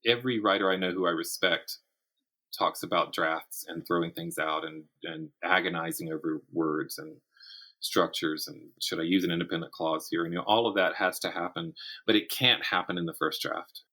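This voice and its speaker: A man with a deep voice that still carries a bright, forward edge. He talks casually as a podcast guest, working out his thoughts aloud and often rephrasing them mid-sentence. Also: steady tone, moderate pace. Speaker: podcast guest, writer and teacher. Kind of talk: casual conversational interview.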